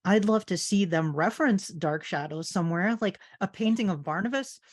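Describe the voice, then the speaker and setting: A woman's voice: on the low side, moderate pace. A woman hosting a podcast in casual conversation, chatty and agreeable with the guests. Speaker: podcast host. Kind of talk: casual chat.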